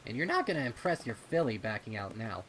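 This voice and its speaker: A man's voice: lowish voice, very animated, fast talker, fairly bright tone, slight background noise, low-quality recording. A man voicing a bossy, dismissive older colt unicorn in a storybook reading. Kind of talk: storybook character dialogue.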